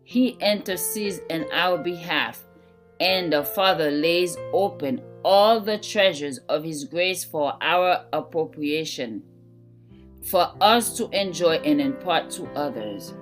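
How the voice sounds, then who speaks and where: A woman reading a scripted devotional in a measured, deliberate way. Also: deep voice, lively intonation. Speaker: devotional reader. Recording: soft guitar music behind voice. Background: gentle plucked guitar music.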